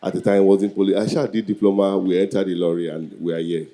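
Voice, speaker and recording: A man's voice: low voice, level tone, fast talker, mellow. A man in his early forties with a Nigerian accent, hosting a live event with joking, improvised banter and questions to the crowd. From Lagos, Nigeria. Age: early 40s. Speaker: event co-host, master of ceremonies.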